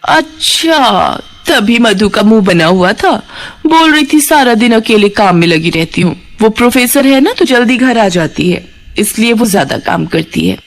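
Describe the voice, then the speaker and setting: A woman's voice: a little high, lively intonation, a little slow. Woman acting a sly, drawling gossipy aunt. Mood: sly, coaxing. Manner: falsely sympathetic, insinuating. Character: sly gossiping older aunt stirring up trouble. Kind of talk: Hindi cartoon family drama acting.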